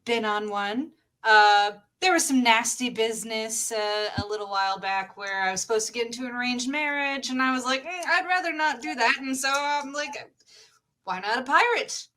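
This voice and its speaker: Girl doing a newbie sky pirate, bright voice a bit high, breezy n unsure, tabletop game. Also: normal speed. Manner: casual, hesitant. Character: young, naive, breezy rookie sky pirate from shipping family.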